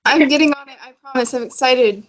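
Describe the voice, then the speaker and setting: A woman's voice: high voice, fairly quick. A young woman chatting casually about books in a giggly, rambling, informal way. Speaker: book discussion co-host. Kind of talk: casual book chat.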